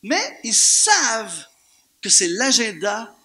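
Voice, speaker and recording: A man with a Canadian French accent preaching an animated sermon to a church congregation, firing off rhetorical questions and repeating words for emphasis. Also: high-pitched, slow. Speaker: guest preacher. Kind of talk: lively sermon.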